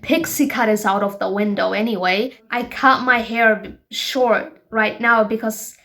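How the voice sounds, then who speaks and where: Frank young woman vlogging, higher voice. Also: steady tone, unhurried, sharp tone. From Bangladesh. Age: 20s. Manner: frank, emphatic, talks straight to her viewers. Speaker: show host. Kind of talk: personal vlog monologue.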